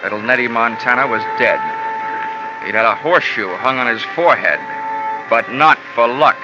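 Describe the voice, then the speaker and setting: A man's voice: medium pitch, moderate pace, lightly acted. An actor playing a hard-boiled male insurance investigator, narrating and talking in a terse, sardonic, wisecracking way for a 1940s radio drama. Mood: grim. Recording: dull old radio broadcast with music.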